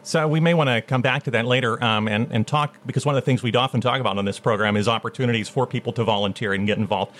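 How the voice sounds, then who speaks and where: A man's voice: mid-range voice, quick pace, hardly any pauses, a bit bright. Radio host guy, chatty questions, stumbles on starts. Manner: conversational, repeats words when starting. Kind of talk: radio interview.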